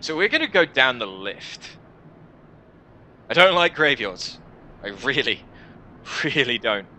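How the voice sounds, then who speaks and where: A man's voice: mid-range voice, very animated, measured pace, pushed and bright. A man hosting a gaming video, reading visual novel narration dramatically and adding casual commentary.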